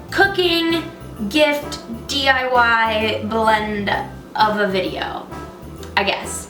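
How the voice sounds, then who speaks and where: A young woman with a stuffed-up, nasal voice, joking her way through a casual kitchen tutorial. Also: fairly high pitch. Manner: bubbly and jokey. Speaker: vlogger. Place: home kitchen, loud stove. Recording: roomy, mic at a distance, music behind.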